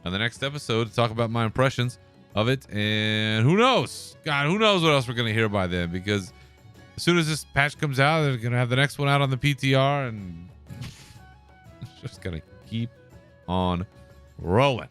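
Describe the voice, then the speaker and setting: Brief: male podcast host, smooth clear voice, relaxed solo chat, swears casually. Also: medium pitch, moderate pace, faint music behind. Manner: laid-back, swears, chuckles.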